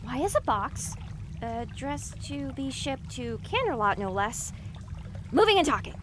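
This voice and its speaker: Woman voicing a high-pitched, animated, anxious young pony mare. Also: unhurried, noisy background. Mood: bemused. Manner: exasperated, muttering asides to herself. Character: anxious, sarcastic young female pony, inner voice. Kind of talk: audio drama acting.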